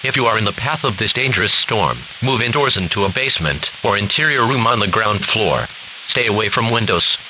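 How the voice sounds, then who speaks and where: A man's voice: on the low side, animated, brisk. A man's synthetic-sounding American voice formally reading automated weather warnings like a radio announcer. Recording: dull noisy weather radio.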